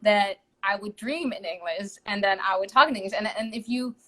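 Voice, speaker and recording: A woman's voice: medium pitch, brisk, bright, forceful tone. A young woman from the Netherlands with a Dutch accent, a singer chatting casually as a guest in a live-streamed interview, laughing lightly between thoughts.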